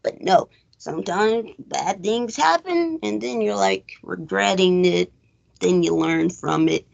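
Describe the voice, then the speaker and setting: A woman hosting a recap show, chatting casually about a film in an animated way, throwing out rhetorical questions. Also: mid-range voice, slow pace, vocal fry, lo-fi sound. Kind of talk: casual chat movie review.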